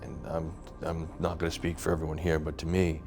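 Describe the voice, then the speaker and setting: A man's voice: rumbling low, monotone, brisk, a little mellow, soft background music. A man as an interview guest, speaking candidly in a plain, firm manner. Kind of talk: candid personal reflection.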